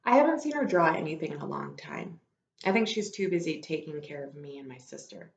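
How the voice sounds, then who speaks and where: A woman's voice: deep voice, lively intonation, brisk, vocal fry, lo-fi sound. A woman teacher reading a book aloud to a class in a conversational, explanatory way. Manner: conversational, explanatory, poses questions to listeners. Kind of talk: read-aloud with asides to students. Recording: roomy echo.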